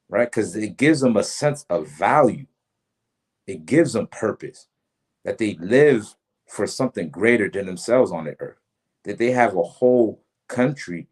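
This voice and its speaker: Deep husky man preaching in street slang. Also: a little mellow. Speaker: teacher leading a religious class. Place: outdoors during a storm.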